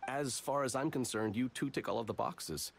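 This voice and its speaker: An actor playing a dutiful, rule-bound soldier in a video game, speaking formally and carefully. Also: male voice, mid-range voice, moderate pace, vocal fry, natural voice. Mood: officious. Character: dutiful male soldier, formal stickler for written rules. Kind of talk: video game character acting.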